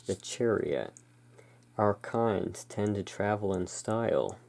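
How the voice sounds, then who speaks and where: Very slow, low, creaky ASMR host. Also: animated, a little hiss or hum. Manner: hushed, unhurried, musing. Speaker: host, playing curiosity emporium owner. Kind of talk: soft-spoken ASMR roleplay, reading and describing tarot cards.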